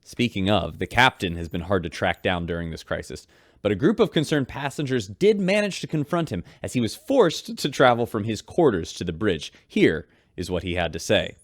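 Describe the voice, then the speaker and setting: An actor playing a polished radio newscaster aboard a spaceship, hosting the news in a sci-fi radio drama. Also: male voice, fairly low pitch, lively intonation, moderate pace, no room sound, clean studio sound. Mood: grave reporting. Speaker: radio host.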